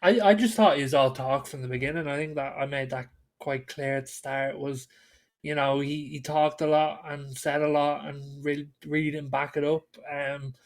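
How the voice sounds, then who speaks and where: A man with a fairly high voice shares casual football opinions as a podcast co-host. He is blunt and states his views plainly. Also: steady tone, moderate pace.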